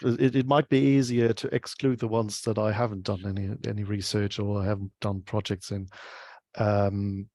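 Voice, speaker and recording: German guy in an interview, lowish voice, lots of restarts, dry room. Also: little pitch movement, normal speed, a little mellow, no echo. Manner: hesitant, restarts often, searches for words. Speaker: guest, UX researcher. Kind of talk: casual interview chat.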